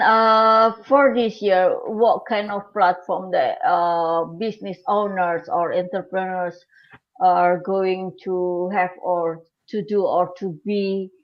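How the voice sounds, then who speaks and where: A woman's voice: lowish voice, highs cut off. A woman hosting an online interview who asks long, loosely worded questions. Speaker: host.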